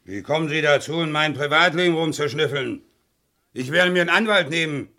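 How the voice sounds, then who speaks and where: An actor playing a proud, bitter war veteran who is indignant and defiant, in a theatrical German crime radio play style. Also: male voice, a little high, a little fast, sharp tone. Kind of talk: German crime radio play acting, mid to late 20th century.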